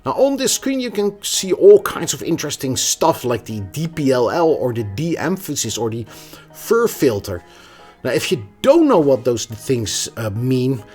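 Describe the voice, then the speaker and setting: A man's voice: medium pitch, darkish tone. A man presenting a product review in a conversational, emphatic way, occasionally stumbling over a word. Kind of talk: scripted product review with casual asides.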